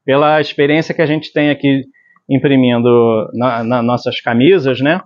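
A man's voice: mid-range voice, steady tone, normal speed. A man in his forties from Brazil teaching screen printing in his workshop. He explains step by step while mixing inks and keeps checking in with the viewer. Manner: explanatory, tag questions like "tá?". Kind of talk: hands-on tutorial. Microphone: lapel mic. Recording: slightly dull lo-fi sound.

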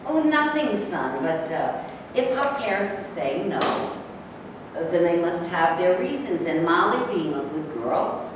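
A woman's voice: on the low side, sing-song, takes it slowly. A mature woman playing a doting, worried mother with an emotive delivery in a staged play reading. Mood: motherly. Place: large bare indoor room. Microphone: far camera. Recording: echoey hall, distant camera microphone, noisy.

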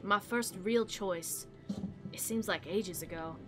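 Woman reading as a reflective young taxi passenger girl, quiet and wistful, visual novel, light music. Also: mid-range voice, slow pace, natural voice. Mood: wistful, subdued. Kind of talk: visual novel read-aloud.

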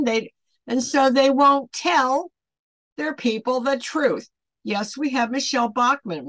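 A woman's voice: mid-range voice, a bit bright, dry recording. An older woman of about seventy giving an unscripted, rambling devotional talk to camera, emphatic and fond of rhetorical questions, as an online ministry host. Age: older, around 70. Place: small furnished home room, indoors.

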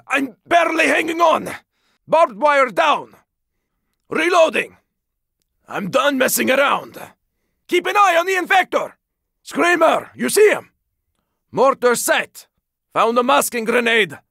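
A man voicing a gritty, battle-weary survivor fighter in a zombie video game, with a raspy, gravelly voice and forceful short callouts. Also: very high-pitched, big pitch swings, a little slow, long pauses, no echo, clean studio sound, exaggerated voice. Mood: urgent, battle-ready. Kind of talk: video game combat barks.

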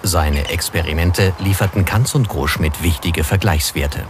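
A man's voice: low-pitched, measured pace, noisy background. A man reading scripted narration as the narrator of a documentary. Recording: music under the voice. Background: background music.

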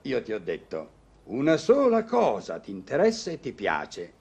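A teasing doctor confidant in vintage radio comedy. His gravelly voice swings widely in pitch as he talks with theatrical, insistent emphasis. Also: huge pitch swings, moderate pace, a little mellow.

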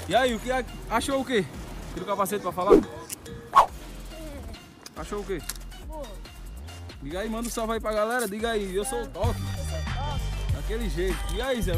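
Young man, Northeastern Brazilian accent, high voice, lively swooping intonation, slangy, outdoor motovlog. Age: young adult. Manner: slangy, excitable, repeats short commands. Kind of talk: casual vlog chat and riding instructions. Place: outdoors on an empty street by a motorbike. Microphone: phone at arm's length. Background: music, motorbike engine.

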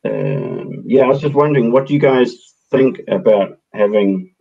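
A man in his thirties hosting a meeting over a headset on a video call, chatting in a relaxed way. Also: mid-range voice, normal speed, vocal fry, breathy edge. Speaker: meeting host. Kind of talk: casual video call discussion. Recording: dull lo-fi call audio.

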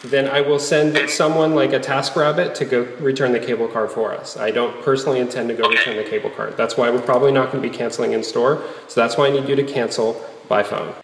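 A man's voice: mid-range voice, little pitch movement, fast talker, hardly any pauses, slight background noise, lightly acted. Man playing firm customer in echoing hall. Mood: firm, weary. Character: firm, weary male customer cancelling service by phone. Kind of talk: dramatic reading of a customer call. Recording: echoey hall-like reverb.

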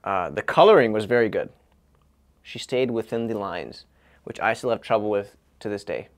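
A man's voice: lowish voice, moderate pace. A man in his thirties judging a children's talent show in a small studio, upbeat and encouraging. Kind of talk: casual commentary. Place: small studio with decorated wall.

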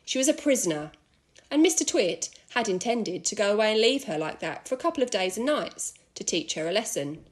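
A woman reading a children's story aloud in an expressive narrating style. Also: medium pitch, fairly quick. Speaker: school staff member reading aloud.

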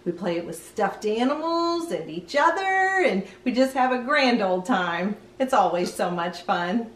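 A middle-aged woman hosting a children's read-aloud, chatting in an animated, sing-song way to young viewers. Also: mid-range voice, unhurried, reverberant. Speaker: children's storytime host, grandmother. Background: music.

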